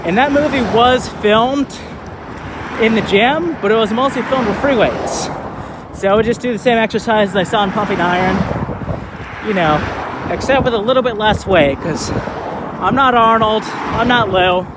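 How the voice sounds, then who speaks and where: High-voiced man rambling outdoors. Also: normal speed, background noise. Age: 30s. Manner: relaxed, rambling, many fillers. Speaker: vlogger. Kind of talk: casual vlog monologue. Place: outdoors by a lagoon, skating.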